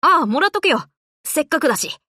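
A woman voicing a gruff tomboy warship girl in an anime game, blunt and nonchalant. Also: high voice, sing-song, fast, sharp tone, no echo, clean studio sound, exaggerated voice. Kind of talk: anime game character voice acting.

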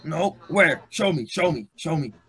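A man playing a mischievous, sulky animatronic pirate fox with a halting, grudging delivery in an amateur roleplay. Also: a little high, expressive, sharp tone, slightly rough, exaggerated voice. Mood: excited. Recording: music behind the voice.

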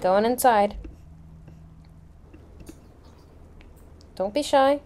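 A woman playing a kindly, reassuring schoolteacher with a gentle manner in an amateur roleplay. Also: a little high, moderate pace, close to an everyday voice.

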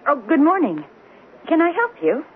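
An actress playing a quick-witted wife turned amateur sleuth in a 1970s radio drama, wry and quippy. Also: female voice, high voice, wildly swooping pitch. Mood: polite, businesslike. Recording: muffled, lo-fi, noisy old radio broadcast.

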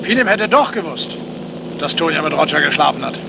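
High, creaky, inquisitive man. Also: lively intonation, a little fast. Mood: pondering. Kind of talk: 1970s German radio crime play acting. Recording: noisy motorboat ambience on the water.

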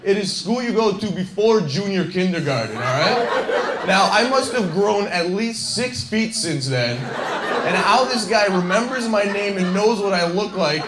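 A man's voice: sits high, animated, measured pace, heavy background noise. Young man rambling through a stand-up set. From Scarborough, Canada. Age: young adult. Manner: rambling, self-deprecating, lots of fillers. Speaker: stand-up comedian. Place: comedy club stage. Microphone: handheld mic. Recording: echoey club with loud laughing crowd. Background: audience laughter.

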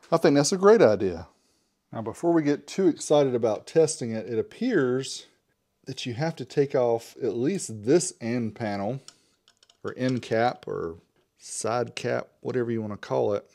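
A man's voice: medium pitch, dark tone. A man in his forties casually unboxing and reviewing a product as host, chatty and joking, talking to himself now and then. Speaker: host reviewing car audio gear.

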